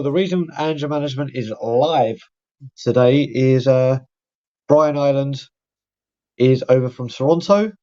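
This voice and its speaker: A man, hosting a live fan podcast in a chatty, rambling way. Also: medium pitch. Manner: chatty, rambling shout-outs. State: croaky voice. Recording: dull lo-fi audio.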